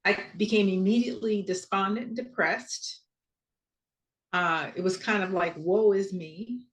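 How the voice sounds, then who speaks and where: Woman, mid pitch, candid, webcam audio. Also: unhurried, poor audio. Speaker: patient sharing her story. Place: home room. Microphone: video call webcam.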